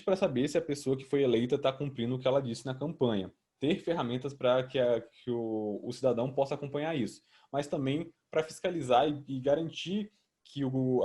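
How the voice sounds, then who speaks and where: A man's voice: mid-range voice, warm tone, husky. A young man with a Brazilian accent presenting a student project in a didactic, conversational but semi-formal way.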